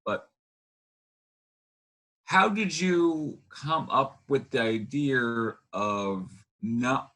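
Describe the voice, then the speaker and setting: A man hosting a casual interview, talking in a halting way and often rephrasing himself. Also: mid-range voice, pushed and bright. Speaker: host interviewer.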